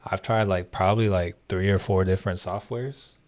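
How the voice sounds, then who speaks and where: Deep-voiced man rambling casually. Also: fairly even intonation, normal speed, a little mellow. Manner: rambling, many fillers and restarts. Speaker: livestream host. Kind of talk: casual livestream chat with viewers.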